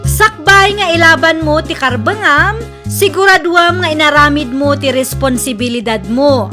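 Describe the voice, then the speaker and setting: Very high-voiced woman announcer over music. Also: normal speed. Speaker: channel host. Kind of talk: scripted radio programme introduction. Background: loud children's-style music.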